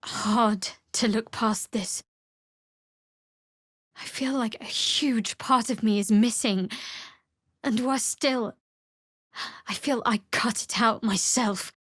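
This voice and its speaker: A woman's voice: fairly high pitch, slow, pauses often, a bit bright, husky, dry recording, heavily acted. A woman playing a guarded, sardonic young female cleric in a video game, with a dry, aloof, clipped delivery. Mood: wistful, troubled. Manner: dry, aloof, clipped sarcasm with earnest undertones. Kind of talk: video game character voice acting.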